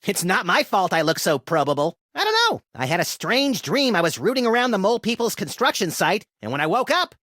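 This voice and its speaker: A man's voice: sits high, very animated, a little fast, hardly any pauses, a bit bright, dry recording, studio quality, full character voice. A man voicing a manic, mischievous rabbit-like creature in a comedic cartoon video game, with gleeful, wisecracking delivery. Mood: breezy, wisecracking.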